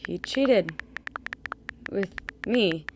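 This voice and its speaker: Clicks and clear noise sit around a woman playing a blunt young woman in an audio drama. Her medium-pitched voice is frank and exasperated. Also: lively intonation, very slow. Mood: defensive, blunt. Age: young adult.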